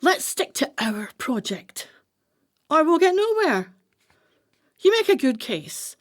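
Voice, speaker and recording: An actress playing a brisk-minded, commanding woman plotter in a radio play, with a deliberate, declamatory delivery. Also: high voice, big pitch swings, full character voice. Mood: firm, emphatic.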